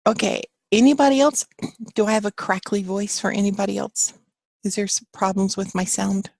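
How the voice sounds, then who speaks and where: A woman's voice: medium pitch, fairly even intonation, normal speed, gravelly, a little mellow, dry recording. A woman teaching a live online class from her home office, chatting with students and reading their answers aloud in an encouraging way. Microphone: computer mic on a video call.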